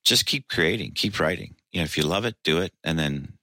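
A man in his sixties, a singer, telling an animated, laughing anecdote in an interview. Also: low voice, brisk, no echo. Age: 60s.

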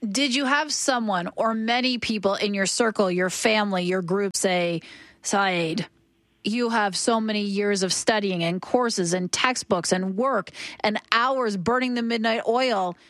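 A woman hosting a radio interview who asks leading questions in an animated way. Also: medium pitch, a little slow, dry recording. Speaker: radio host.

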